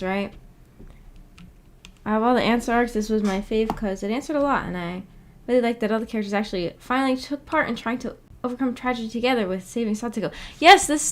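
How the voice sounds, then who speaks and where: A woman streamer chatting casually with her viewers, hesitant and giggly as she searches for words. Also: mid-range voice. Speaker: streamer hosting a visual novel book club. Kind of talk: casual livestream chat, reacting to viewer comments.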